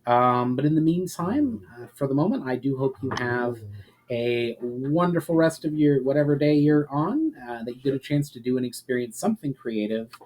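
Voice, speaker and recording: A man narrating a fantasy story as an expressive, dramatic reader. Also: mid-range voice, moderate pace, slight background noise, poor audio. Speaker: narrator and author.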